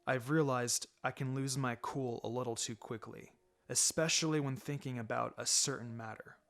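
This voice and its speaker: Guy doing a broody ninja kid, low quiet voice, terse n clenched, easily annoyed, music under it. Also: fairly even intonation, normal speed, gravelly. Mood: reluctant, troubled. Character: brooding, guarded young boy ninja student, easily irritated. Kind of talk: fan fiction audiobook character acting.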